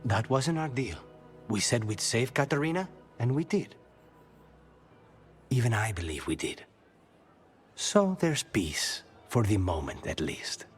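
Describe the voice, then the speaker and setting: An actor playing a brooding, dry-witted assassin haunted by a demon in a video game, speaking in measured, wry, clipped phrases. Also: male voice, medium pitch, sing-song, faint music behind.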